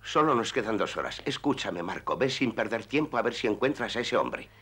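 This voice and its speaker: A man's voice: on the low side, lively intonation, fairly quick, a bit bright, slightly rough. An actor in a Spanish cartoon dub playing a worried father who runs a puppet theatre, anxious and urgent.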